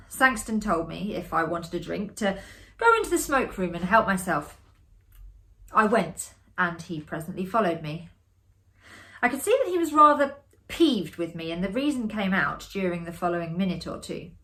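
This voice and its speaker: A woman giving a dramatic, expressive reading of a classic ghost story as a storyteller. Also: lowish voice, moderate pace. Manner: expressive, acting out the dialogue. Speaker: storyteller, amateur theatre performer.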